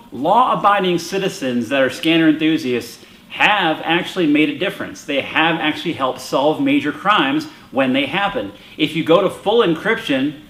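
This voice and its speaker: An American man gives explanatory commentary in a somewhat high-pitched voice. He is conversational but emphatic, laying out his opinion like a presenter. The recording has a strong room echo around his words. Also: fairly bright tone. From United States. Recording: roomy echo.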